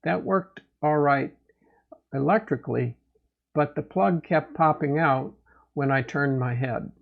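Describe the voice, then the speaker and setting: Creaky-voiced man, slow and measured. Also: mid-range voice, lo-fi sound. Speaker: host of a motorcycle gear review channel. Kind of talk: product update narration.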